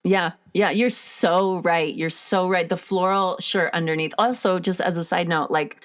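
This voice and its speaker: A woman with a somewhat low voice hosts a relaxed conversation about a film. She talks eagerly, agrees often and is quick to laugh. Her speech is loose and chatty. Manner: enthusiastic, agreeing, chatty with many fillers. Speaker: podcast host. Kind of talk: casual film discussion.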